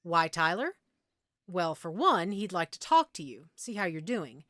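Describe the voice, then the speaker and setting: A woman playing a friendly werewolf pack member in an intimate audio roleplay, patiently explaining and gently reassuring the listener. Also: on the low side, very animated, unhurried, no room sound, studio quality. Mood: reassuring, compassionate.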